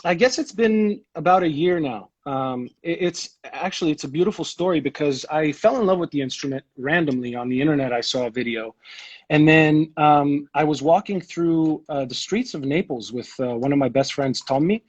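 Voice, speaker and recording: A man's voice: on the high side, normal speed. Rambling young man, dull lo-fi sound. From Ethiopia. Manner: rambling, many restarts and fillers. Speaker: guest. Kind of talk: casual video-call chat.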